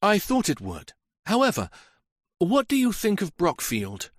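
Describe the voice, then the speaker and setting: A composed widow who smiles a little spitefully as she talks, her voice raised high and dancing in pitch, as a man reads her part. The delivery is dry and close, with no room around it. She sounds arranged and in control. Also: huge pitch swings, unhurried, no echo, exaggerated voice. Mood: sly. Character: poised, faintly spiteful young widow. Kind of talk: audiobook character voice.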